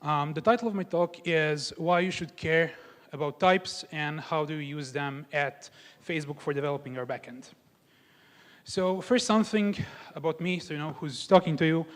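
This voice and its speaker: A man's voice: on the high side, moderate pace. A young man from Croatia giving a technical conference talk in a large hall, explaining his points step by step with examples. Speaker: conference speaker. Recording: long hall reverb.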